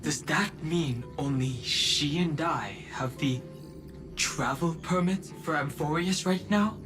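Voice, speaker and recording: Grave, determined young space traveler. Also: male voice, fairly high pitch, over music. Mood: grave, pensive. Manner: measured, earnest, restrained. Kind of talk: video game voice acting.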